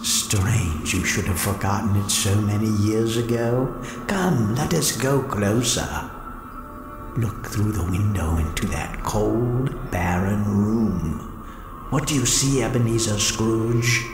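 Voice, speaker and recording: An actor playing a solemn ghostly spirit in an audio drama, questioning in a deliberate, probing way. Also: male voice, on the low side. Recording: echoey voice over music.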